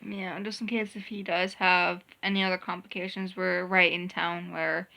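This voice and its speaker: A woman's voice: lowish voice, flat delivery, moderate pace, vocal fry, sharp tone. A young woman chatting casually in a couple's vlog, rambling along with restarts. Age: young adult. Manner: rambling, many restarts and fillers. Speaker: vlogger. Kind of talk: casual chat.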